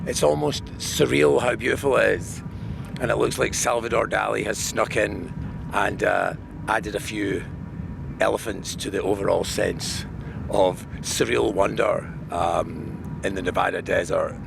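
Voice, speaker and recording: A man with a hoarse, worn-out voice talking casually and wryly in a spontaneous outdoor video diary. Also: fairly even intonation, a little slow, over music. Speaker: vlogger filming a festival diary. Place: outdoors at a desert festival. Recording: noisy outdoor recording with vehicle rumble.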